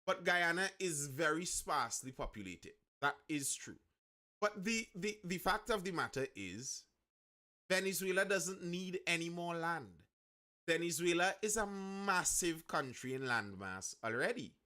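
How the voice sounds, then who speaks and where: A Trinidadian man hosts a live news stream in a bright, forceful voice that sits a little high. He comments conversationally to his viewers, circling back to rephrase and repeat his points as he goes. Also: lively intonation, a little slow. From Trinidad and Tobago.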